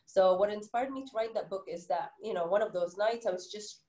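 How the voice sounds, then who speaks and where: A woman's voice: mid-range voice, brisk, warm tone, reverberant. A middle-aged woman from Egypt with an Egyptian English accent, an author answering interview questions earnestly, with many "you know" fillers. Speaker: children's book author, guest.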